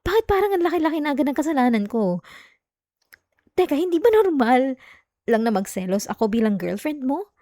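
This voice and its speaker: Casting: woman as young jealous girlfriend, higher mellow voice, coaxing and whiny, intimate ASMR roleplay, close dry sound. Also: sing-song, a little fast, long pauses, dry recording. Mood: defensive, jealous. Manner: coaxing, whiny, with sighs and hesitations. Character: clingy, jealous young girlfriend, pleading and pouting. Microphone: close, dry microphone.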